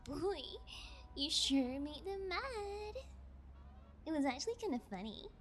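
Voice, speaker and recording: Woman acting a slow, high-voiced sadistic kidnapper. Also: very animated, a bit bright, a little hiss or hum, full character voice. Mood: mocking, amused. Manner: teasing, sing-song menace with drops into whispers. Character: sadistic, playful female yangire kidnapper, sociopath. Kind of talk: ASMR audio roleplay, intimate monologue.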